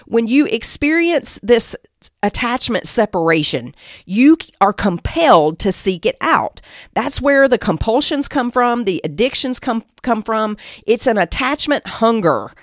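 A woman's voice: a little high, very animated, unhurried, no echo. Emphatic female Bible teacher, dry close sound. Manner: emphatic, exhorting, repeats phrases for weight. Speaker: Bible teacher and podcast host. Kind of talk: devotional teaching talk.

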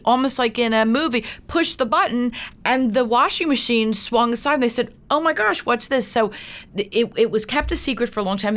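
A woman speaking informally as an interview guest, a film producer who tells her story in an animated way and acts out remarks. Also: a little high.

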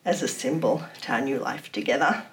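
A woman's voice: rumbling low, brisk. A woman from Poland who grew up in Australia, answering interview questions with personal recollections. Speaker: interview guest. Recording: distant from the microphone.

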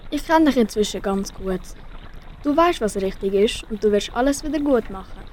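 A woman's voice: on the high side, animated, moderate pace, darkish tone, a little hiss or hum, natural voice. A teenage girl with a Swiss German accent voicing a sensible, comforting fish in an amateur school radio play. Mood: encouraging. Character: sensible, comforting red-finned fish friend.

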